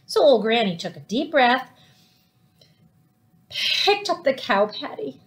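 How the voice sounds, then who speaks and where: A middle-aged woman reading a children's storybook aloud in an animated way, with dramatic, drawn-out emphasis. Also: fairly high pitch. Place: living room at home, indoors.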